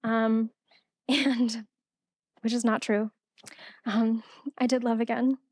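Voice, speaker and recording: A woman's voice: on the high side, level tone, slow, darkish tone, no echo. A young woman poet reading her poem aloud and then giving a casual talk with many fillers at a college event.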